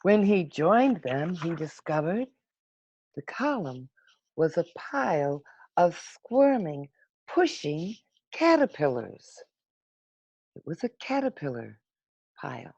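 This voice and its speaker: A woman reading a children's storybook aloud in an expressive, unhurried manner with dramatic pauses, over a video call. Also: on the low side, breathy edge, no room sound. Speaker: storybook reader. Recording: muffled, lo-fi video call audio.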